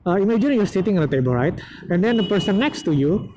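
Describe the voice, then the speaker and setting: A man's voice: high-pitched, lively intonation. Young Indonesian man over road noise. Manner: rambling, halting, many fillers and restarts. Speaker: motovlogger. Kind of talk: casual advice vlog while riding. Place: outdoors on a motorbike in traffic. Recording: vehicle noise on helmet mic. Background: road and engine noise.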